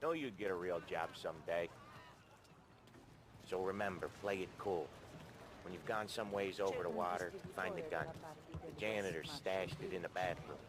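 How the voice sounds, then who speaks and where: Casting: male actor for a casual, confident mob associate in a crime game, lowish voice over clear background noise. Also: moderate pace, long pauses, natural voice. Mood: reassuring. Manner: casual, slangy, assured. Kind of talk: cinematic crime game acting. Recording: noisy outdoor ambience behind.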